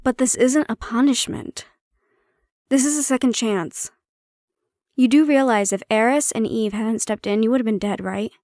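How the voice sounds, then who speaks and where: A woman acts out a female handler in an audio roleplay, prickly on the surface and caring underneath. Her voice sits a little high and is recorded dry and close. She talks one-to-one in a measured, probing manner with a dry edge. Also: darkish tone, no room sound, close to an everyday voice. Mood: stern, exasperated. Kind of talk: intimate audio roleplay acting, one-sided conversation.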